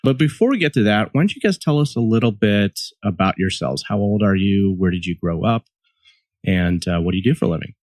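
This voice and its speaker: Deep-voiced friendly male podcast host. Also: expressive, a little fast, darkish tone, no room sound, studio quality. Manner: friendly, chatty, laughs easily. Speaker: podcast co-host and couples therapist. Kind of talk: scripted intro, then relaxed interview.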